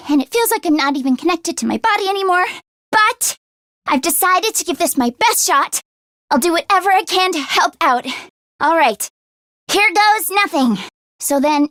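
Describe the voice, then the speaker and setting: A woman voicing an earnest, anxious teenage girl mechanic in a video game, talking in a casual, expressive, youthful way. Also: high voice, no echo, clean studio sound, heavily acted. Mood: shaken, queasy, then nervous, determined. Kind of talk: English video game voice acting.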